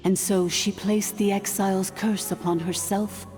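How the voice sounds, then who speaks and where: A woman playing a calm, imperious ancient dragon-born artificial intelligence in a video game, speaking formally and with measured stateliness. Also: medium pitch, lightly acted. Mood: solemn. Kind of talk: scripted game dialogue, solemn exposition. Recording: long hall-like reverb, music underneath.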